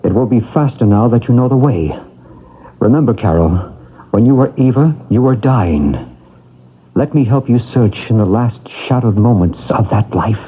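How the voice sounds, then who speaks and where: Calm hypnotist, soft soothing delivery, husky voice. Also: male voice, medium pitch, normal speed. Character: calm hypnotist and paranormal expert. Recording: tinny old radio broadcast.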